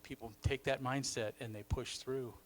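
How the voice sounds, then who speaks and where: A man's voice: mid-range voice, steady tone, moderate pace, slight background noise. A man leading a church recovery session, affirming people and drawing lessons from what they share. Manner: warm, affirming, reflective. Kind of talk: encouraging workshop talk. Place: church hall.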